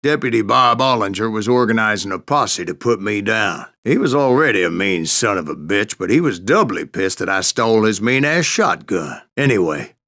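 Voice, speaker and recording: An actor playing an old Wild West bounty hunter who tells boastful tall tales in a folksy American Western drawl for a video game. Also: male voice, on the low side, lively intonation, normal speed, few pauses, a bit bright, no room sound, studio quality. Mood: boastful, spirited. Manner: folksy, salty, cussing storyteller. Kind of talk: theatrical storytelling narration for a western video game.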